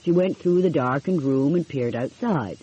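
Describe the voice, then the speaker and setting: A woman narrating an audiobook in an expressive storytelling style. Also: low-pitched, moderate pace, husky. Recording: dull, lo-fi audio.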